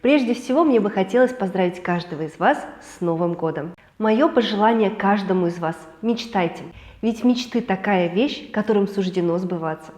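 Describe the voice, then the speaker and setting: A woman hosting a weekly celebrity and travel news roundup, hoarse from a cold, lively and chatty with personal asides. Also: mid-range voice, moderate pace, roomy sound. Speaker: news show host.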